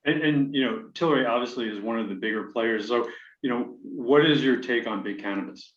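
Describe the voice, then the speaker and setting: A man's voice: mid-range voice, fairly quick. Male podcast host, friendly, restarts questions; dull, roomy remote recording. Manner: friendly, stammers on openings. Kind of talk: interview hosting.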